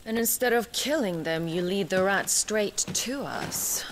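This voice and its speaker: A haughty noblewoman commander, played by a woman, in dramatic video game style. She is imperious and sardonic, taunting in a bored, cruel way. Her mid-pitched voice moves widely, with clear noise behind it. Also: animated, unhurried, natural voice. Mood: menacing, mocking.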